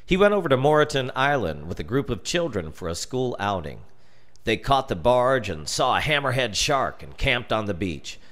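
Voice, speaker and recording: An American man narrating a scripted children's horror story in a deliberate, dramatic storytelling manner. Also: mid-range voice, big pitch swings, fairly bright tone.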